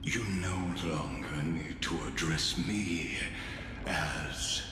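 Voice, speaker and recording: A man's voice: a bass voice, extremely slow, heavily acted. A man acting as a proud, scheming elder genius in a video game, with pompous, theatrical delivery. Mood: sly, solemn. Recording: cavernous echo under loud music.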